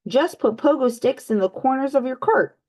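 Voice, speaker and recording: A woman voicing a fussy, self-assured male rabbit in a storybook reading, brisk and know-it-all. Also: high voice, lively intonation, a little mellow, voice pushed up.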